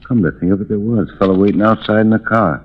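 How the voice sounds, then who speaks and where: An actor playing a folksy, chatty night elevator man in a 1950s radio drama, slow and musing. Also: lowish voice, natural voice. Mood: musing, helpful. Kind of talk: 1950s radio character acting. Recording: dull old radio broadcast recording.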